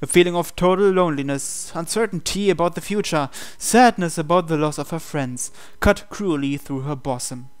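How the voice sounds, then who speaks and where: A man's voice: on the high side, normal speed, few pauses, clear, resonant tone. A man reading visual novel narration aloud as host of a game playthrough. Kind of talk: scripted narration read aloud.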